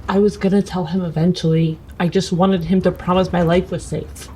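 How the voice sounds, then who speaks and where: A woman's voice: lowish voice, little pitch movement, fairly quick, mellow, slight background noise, rough recording. A woman playing a tabletop game, chatting casually in character. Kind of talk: casual in-character roleplay chat.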